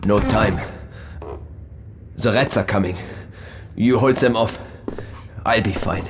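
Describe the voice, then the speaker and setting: An actor playing a wounded, fading man, speaking haltingly and in pain in a war game. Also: on the low side, a little hiss or hum. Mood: urgent, desperate. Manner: halting, strained. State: coughing, labored, in pain. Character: wounded, fading German man, desperate and fatalistic.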